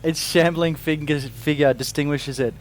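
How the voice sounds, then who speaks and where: A man's voice: on the high side, fairly even intonation, normal speed. A man, running a tabletop game and reading out a creature description in a laid-back way. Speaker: game master.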